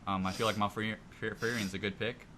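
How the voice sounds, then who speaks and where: A man's voice: fairly low pitch, flat delivery, fast talker, pushed and bright. A man co-hosting a casual gaming podcast, explaining his picks in an informal, easygoing way. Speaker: podcast co-host. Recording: noisy amateur podcast recording.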